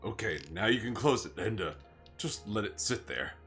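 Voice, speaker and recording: A big male wolverine caretaker, read by a man who speaks gently and a bit haltingly. The voice sits low but moves around a lot in pitch, with faint music behind it. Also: lively intonation. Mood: soothing.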